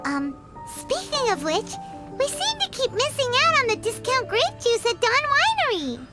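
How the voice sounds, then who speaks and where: Squeaky, very high-pitched little fairy. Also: female voice, wildly swooping pitch, normal speed, exaggerated voice. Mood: eager, chirpy. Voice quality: squeaky, childlike. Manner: exclamatory, eager, bubbly. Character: tiny childlike female fairy companion, chirpy, excitable, nosy. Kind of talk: video game character acting. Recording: music behind the voice.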